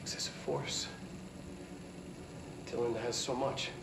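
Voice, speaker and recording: A man's voice: mid-range voice, fairly even intonation, slow. An actor playing an eccentric male scientist who rambles and stammers through a lecture, heard from a projected recording. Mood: nervous. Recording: echoey distant projected film with clear noise.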